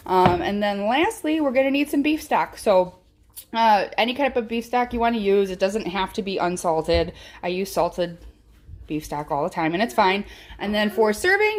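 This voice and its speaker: A woman in her thirties with a Chicago accent, a wife and mother chatting through a cooking demo in her home kitchen with lots of ums and likes. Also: fairly high pitch. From Chicago area, US Midwest.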